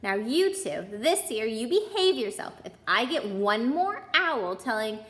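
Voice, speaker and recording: A woman's voice: on the high side, big pitch swings, measured pace, a bit bright. A woman voicing a fond but firm, bustling mother in a storybook read-aloud. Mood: scolding.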